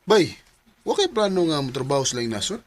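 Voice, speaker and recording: An actor playing a cheeky, carefree young buddy in a Bisaya radio drama, with a Cebuano Filipino accent. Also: male voice, on the high side, very animated, fairly quick, noisy background. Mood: friendly.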